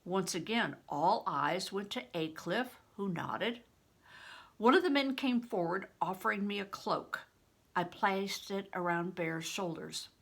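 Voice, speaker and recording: An older woman, a grandmother, reading a storybook aloud in a deliberate, phrase-by-phrase way. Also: medium pitch, expressive, vocal fry, slightly rough. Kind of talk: storybook narration with plain spoken asides.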